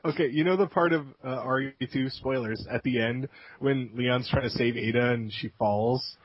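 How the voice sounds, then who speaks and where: A man's voice: medium pitch, normal speed, breathy edge, dry recording. A man co-hosting a casual gaming podcast. He talks in a laid-back, agreeable way and laughs often. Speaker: podcast co-host. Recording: dull lo-fi podcast audio.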